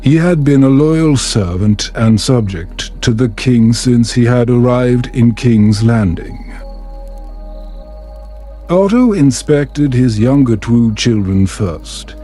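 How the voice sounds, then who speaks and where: A man reading a scripted bedtime story as a narrator, in a calm, measured, soothing way. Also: medium pitch.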